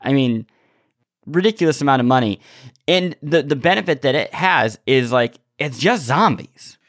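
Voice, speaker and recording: A man with a medium-pitched voice hosts a casual panel chat. He sounds hesitant, reworking his phrasing as he thinks aloud, in a dry recording with little room sound. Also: expressive, moderate pace, a bit bright, no room sound. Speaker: podcast host.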